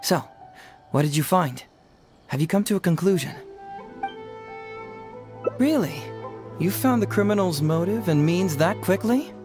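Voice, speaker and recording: A man's voice: high voice, lively intonation, unhurried, pauses often. Young male game detective: clever, self-assured, playfully sly explainer, with music behind. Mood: pleased, prompting. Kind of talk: video game voice acting.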